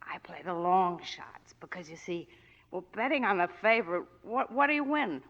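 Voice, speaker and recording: This is a muffled vintage radio recording of a boozy, needy moll. She chatters in uneven bursts with emphatic swings of pitch, in 1940s melodrama style. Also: lively intonation, moderate pace. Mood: chatty. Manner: rambling, hesitant, emphatic.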